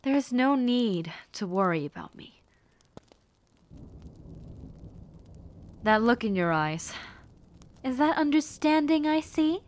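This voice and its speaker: A woman playing a slow-spoken, commanding rebel princess. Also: fairly high pitch, animated. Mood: softened, relieved. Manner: formal, slow, deliberate, theatrical delivery. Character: rebellious warlord princess, commanding, bitter, haughty, scheming. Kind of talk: scripted roleplay monologue to the listener.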